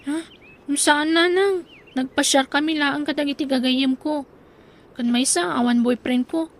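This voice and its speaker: A woman's voice: sits high, fairly even intonation, unhurried. An actress playing a chatty woman swapping village news in an Ilocano radio drama. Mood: surprised.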